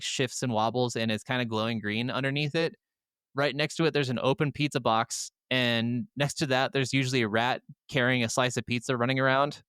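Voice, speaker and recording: A man's voice: medium pitch, a bit bright, smooth, no echo, studio quality. A man co-hosting a podcast, chatting casually about video games in an enthusiastic, freely swearing way. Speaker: podcast co-host.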